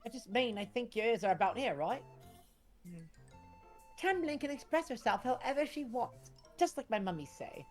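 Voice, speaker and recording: Woman as lively, kindly British pal. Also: fairly high pitch, normal speed, long pauses, faint music behind, close to an everyday voice. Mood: friendly, encouraging. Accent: put-on British.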